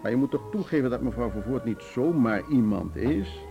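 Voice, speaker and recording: An actor in a Dutch radio drama playing a diplomatic male board chairman, urbane, measured and persuasive. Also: medium pitch, animated, vocal fry, highs cut off, music in the background. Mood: persuasive, soothing. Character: diplomatic, suave male board chairman smoothing things over.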